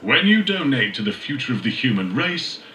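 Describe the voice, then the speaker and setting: An actor playing a polished corporate leader delivering a grandiose, salesmanlike, welcoming promotional message. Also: male voice, mid-range voice, big pitch swings, moderate pace, slight background noise. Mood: grand, welcoming. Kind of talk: scripted corporate promotional narration. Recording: echoey, distant announcement over loud music.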